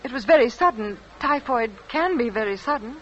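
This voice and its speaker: An actress playing an anxious, devoted young wife haunted by fear, hesitant and questioning, in an old radio drama. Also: female voice, on the high side, expressive, fairly quick, a bit bright. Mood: polite, defensive. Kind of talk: 1940s radio drama acting. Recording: dull old radio recording.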